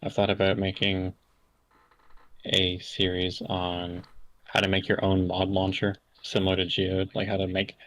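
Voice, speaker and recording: A man's voice: low voice, monotone, a little slow, fairly bright tone, slight background noise. A young man from Canada, a podcast guest talking in a casual, hesitant way full of fillers and restarts. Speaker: interview guest.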